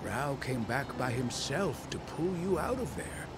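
A man's voice: mid-range voice, animated, a little slow, very noisy, natural voice. A man voicing a self-important, sheepish old demon thief in a video game, hesitant and huffy.

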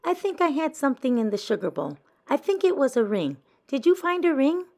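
A sly little girl badger played by a woman in a children's storybook, pitched up high. She wheedles and coaxes, pushy about getting her way. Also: very animated, a little fast, darkish tone. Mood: wheedling.

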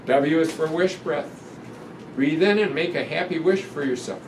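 Slow-paced older man reading, roomy and distant. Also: a little high. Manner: gentle, deliberate, instructive, pausing between phrases. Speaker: guest doctor reading a picture book aloud. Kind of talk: children's storytime read-aloud with guided breathing instructions. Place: indoor room in front of a sequined curtain. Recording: roomy, distant pickup with noticeable ventilation hum.

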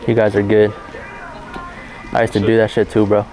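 A man's voice: lowish voice, completely flat delivery, normal speed, warm tone, faint music behind, poor audio. A young adult man speaking politely and informally as a pretend store worker, recorded in a big store aisle. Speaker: prankster posing as a store security worker. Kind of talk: casual prank exchange with strangers. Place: large store aisle, indoors.

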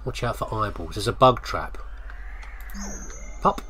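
A man's voice: on the low side, lively intonation, measured pace, pushed and bright, a little hiss or hum. A man with a British accent giving casual, chatty game commentary, playfully muttering asides as he thinks aloud. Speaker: gamer doing a let's play. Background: video game ambience.

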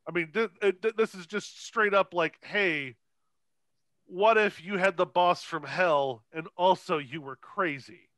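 A man's voice: high voice, a little slow, fairly bright tone, no room sound. A man co-hosting a podcast, chatting casually about films in a profane, irreverent way. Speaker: podcast co-host. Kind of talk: casual, unscripted film discussion.

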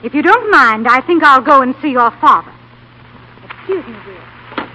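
A woman's voice: sits high, lively intonation, moderate pace, bright, forceful tone, full character voice. An actress playing a frosty, proper society mother in 1930s radio comedy, on a muffled, echoing recording. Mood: icy. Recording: muffled, reverberant 1939 radio broadcast.

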